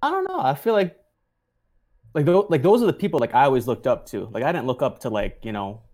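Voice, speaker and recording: A man's voice: medium pitch, very animated, fast, a little mellow. A man from the American Midwest, chatting hesitantly as a podcast guest and filling his talk with "like". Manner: hesitant, heavy use of "like". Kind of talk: casual chat.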